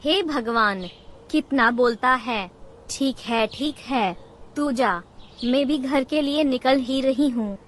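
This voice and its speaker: A greedy old mother-in-law bird from a cartoon, acted by a woman, with a very high voice. She nags and taunts in slow, dramatic, drawn-out phrases, over faint background noise. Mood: weary, irritable. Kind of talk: Hindi cartoon character acting.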